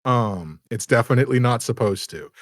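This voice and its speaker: A man running a tabletop game as dungeon master, halting and apologetic, laughing often. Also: medium pitch, expressive, dry recording, clean studio sound.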